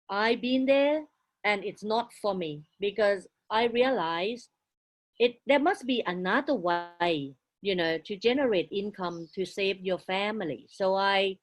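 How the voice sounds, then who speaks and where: A woman in her forties, English not her first language, telling her life story as a guest in halting, broken English with frequent restarts. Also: medium pitch. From outside Australia. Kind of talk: casual interview, life story.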